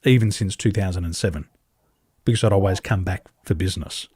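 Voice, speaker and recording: An Australian man, a podcast guest, speaking on a video call and telling animated stories, acting out dialogue with big leaps in pitch and emphatic repetition. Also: a little fast, husky, dry recording, clean studio sound. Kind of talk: animated conversational storytelling. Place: home in Taiwan, over a video call.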